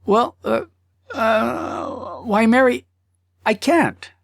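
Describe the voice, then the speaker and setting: Male narrator as a nervous, evasive husband, stammering, pitched high and swooping, storybook style. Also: sing-song, very slow, creaky, dry recording, studio quality, heavily acted. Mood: flustered, evasive. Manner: hemming and hawing, stammering. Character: flustered, evasive husband caught hedging to his wife. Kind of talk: audiobook character acting.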